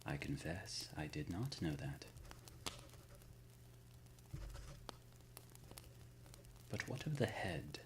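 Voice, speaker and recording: A man playing a courteous, shrewd, ancient supernatural lord in an ASMR roleplay, speaking softly and formally, often near a whisper. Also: very deep voice, big pitch swings, background noise. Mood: attentive, musing. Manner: formal, measured, erudite wording with deliberate pauses. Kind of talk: ASMR roleplay, intimate scripted monologue.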